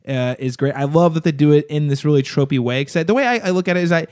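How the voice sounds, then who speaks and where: A man's voice: on the high side, quick pace, darkish tone, clear, resonant tone. A man co-hosting a podcast in casual conversation, agreeable and chatty. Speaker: podcast co-host and film critic.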